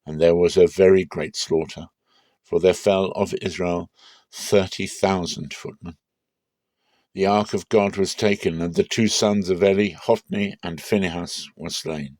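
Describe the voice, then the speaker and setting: A man with a lowish voice reads scripture as drama. His delivery is measured and solemn but expressive, and the sound is dry and close, free of room echo. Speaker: Bible reader.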